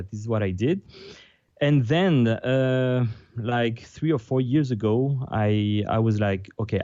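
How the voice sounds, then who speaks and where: Low, mellow Frenchman with a halting delivery. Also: no echo, dull audio. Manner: halting, translating on the fly. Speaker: guest. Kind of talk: casual interview over video call. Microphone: video call.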